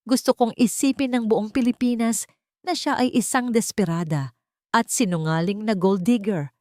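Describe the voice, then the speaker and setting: A woman's voice: medium pitch, moderate pace, no room sound, clean studio sound, pitched above the performer's own voice, natural voice. Woman voicing a cold, commanding rich matriarch. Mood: ruthless. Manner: calm but cutting, imperious.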